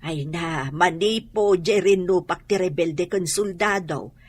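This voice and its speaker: A woman's voice: lowish voice, slow pace, darkish tone, husky, no room sound. An actress with an Ilocano accent playing a practical, scolding older mother in a radio drama. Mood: attentive, explaining.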